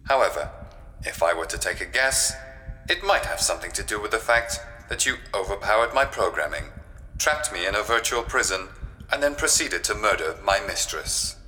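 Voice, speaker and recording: An actor playing a cold, literal, resentful spaceship computer in a science fiction audio drama, with stilted, formal speech. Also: male voice, fairly low pitch, sing-song, normal speed, pushed and bright, faint music behind. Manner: stilted, formal, refers to himself in third person. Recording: long reverb, faint noise.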